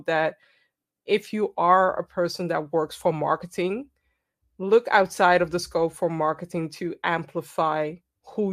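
Female moderator, lower voice, upbeat and affirming. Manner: enthusiastic, affirming. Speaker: panel moderator. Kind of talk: moderating a panel.

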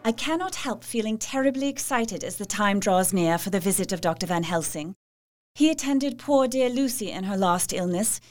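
An actress playing an earnest, anxious young Victorian wife, confiding in a proper manner as in a dramatised diary. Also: female voice, mid-range voice, lively intonation, normal speed, darkish tone, lightly acted.

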